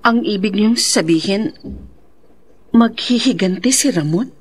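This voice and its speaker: Young mother, radio drama: pleading, resolute, medium pitch with a husky edge. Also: a little slow. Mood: pleading, determined. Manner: pleading, earnest. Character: earnest widowed young mother, worried yet determined.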